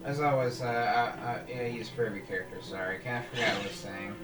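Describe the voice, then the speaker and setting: A man's voice: medium pitch, steady tone, fast. A man hosting a casual gaming video, thinking aloud as he builds a character and often restarting his sentences. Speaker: gaming channel host. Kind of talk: casual let's-play commentary. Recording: echoey room, voice far from mic, game music behind. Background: game music.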